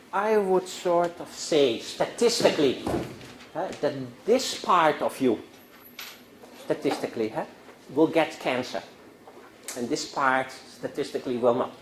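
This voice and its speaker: Older Dutch-accented man lecturing in echoey classroom. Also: mid-range voice. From Netherlands. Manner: discursive, halting restarts, rhetorical questions to students. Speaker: guest lecturer. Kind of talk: university lecture. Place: university classroom. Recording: echoey classroom with faint noise.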